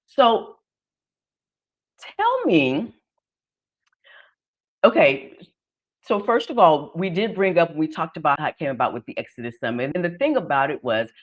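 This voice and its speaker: A woman's voice: sits low, sing-song, a little slow, pauses often. A middle-aged woman hosting a casual conversational interview, with an affirming, encouraging manner. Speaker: host of an online interview show.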